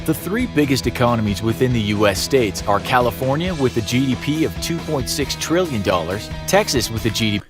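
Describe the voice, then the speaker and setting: A man reading a scripted documentary narration in a steady, fact-listing delivery, from a played video. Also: medium pitch, brisk, hardly any pauses. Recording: narration with guitar music behind. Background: guitar music.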